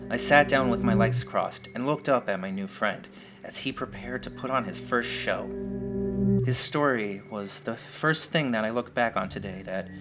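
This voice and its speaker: Male horror storyteller over loud guitar music. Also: mid-range voice. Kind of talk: first-person horror story narration. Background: loud plucked guitar music.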